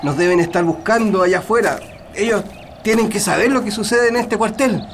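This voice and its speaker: Anxious prisoner, high voice. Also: male voice, brisk. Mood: anxious, urgent. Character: anxious male prisoner urging comrades to bear witness. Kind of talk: radio drama acting. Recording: clear ambient noise.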